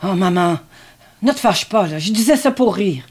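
A woman's voice: medium pitch, lively intonation, moderate pace, a little mellow, natural voice. A woman playing a needy, sardonic grown son devoted to his mother, in a dramatic script reading. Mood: teasing, coaxing.

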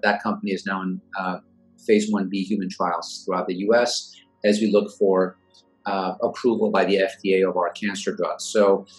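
A man in his forties, originally from Iran, answering interview questions in a measured, thoughtful way with many fillers. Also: fairly low pitch, poor audio. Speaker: guest, venture investor.

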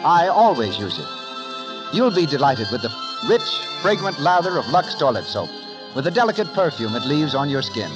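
A male announcer from 1930s radio speaks in a high voice with big, animated swings of pitch. His delivery is formal, polished and promotional. Music sits behind him on the old broadcast. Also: normal speed, dull audio.

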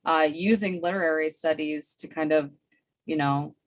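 A woman's voice: sits low, fairly even intonation, normal speed. A woman hosting an interview, talking enthusiastically with many "you know" fillers. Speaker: podcast host. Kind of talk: interview chat.

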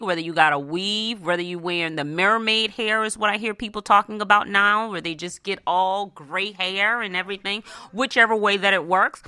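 Bright, forceful American woman radio host. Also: lowish voice, clean tone, dry recording, clean studio sound. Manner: conversational, addresses listeners directly with frequent "right?" and "okay?". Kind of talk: motivational personal finance talk to listeners.

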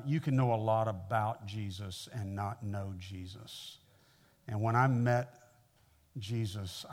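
A man's voice: lowish voice, a little mellow. A man in his sixties from the American Midwest with an American accent, preaching conversationally as a visiting pastor in a folksy, personable way. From Midwestern United States (Missouri). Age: 60s. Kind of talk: conversational sermon to a congregation.

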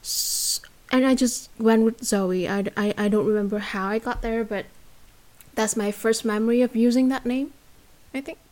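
A woman's voice: fairly high pitch, steady tone, moderate pace, darkish tone. A young woman from Vietnam with a Vietnamese accent, talking as a guest in a casual interview, hesitant with many fillers and restarts.

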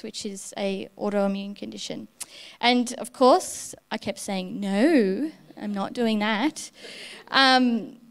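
A woman with a fairly high, smooth, clear voice preaches a sermon. She speaks candidly and warmly, weaving a personal story into her message from the front of a church.

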